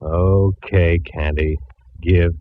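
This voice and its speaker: A man's voice: deep voice, fairly even intonation, very slow, dry recording. An actor playing a wry male police lieutenant in a 1950s radio drama, speaking in a dry, laconic way. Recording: dull, noisy old radio broadcast.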